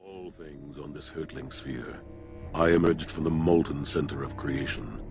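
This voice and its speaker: An ancient, menacing being speaks in an extremely deep, gravelly voice. The monologue is grand and theatrical, with music clearly behind it. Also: male voice, unhurried, exaggerated voice. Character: ancient, menacing male being born of creation.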